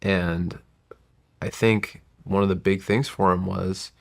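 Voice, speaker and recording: A man in his forties whose first language was Gujarati talks as a podcast guest. His voice sits low and carries a gravelly rasp. He tells his story in a reflective, winding manner and keeps correcting himself. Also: fairly even intonation, slow pace. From United States. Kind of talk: casual interview storytelling.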